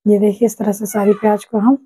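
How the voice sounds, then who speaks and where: Soft-toned woman teaching a home recipe. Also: medium pitch, little pitch movement, a little fast, slightly rough, dry recording. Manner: patient, repeats "ye dekhiye friends" often. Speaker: cooking channel host. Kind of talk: step-by-step cooking instruction. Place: home kitchen.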